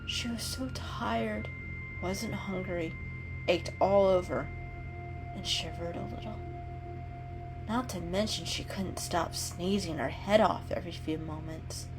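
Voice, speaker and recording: A woman giving an expressive, dramatic reading of a children's animal story as a storyteller. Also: lowish voice, takes it slowly, poor audio. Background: background music.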